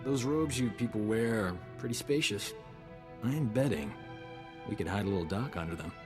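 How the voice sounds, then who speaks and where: An actor playing a cocky, flirtatious doctor in a video game, breezy, joking and pleased with himself. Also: male voice, fairly low pitch, animated, moderate pace, music in the background, close to an everyday voice. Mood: breezy, boastful. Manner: breezy, self-satisfied, joking. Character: cocky, flirtatious male doctor, wisecracking space adventurer. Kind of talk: scripted video game acting.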